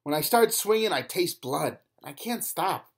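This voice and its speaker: A man's voice: a little high, animated, moderate pace, gravelly, slightly rough, pitched above the performer's own voice, full character voice. A man voicing a tough, fierce woman who works as a cinema cashier and bouncer, blustering and threatening. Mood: grumbling.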